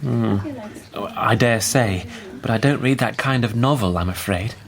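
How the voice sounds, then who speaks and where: An actor playing a blunt, protective middle-aged Victorian father in a radio drama, gruff and plain-spoken. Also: medium pitch, normal speed, crowd noise, close to an everyday voice. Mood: earnest, gruff.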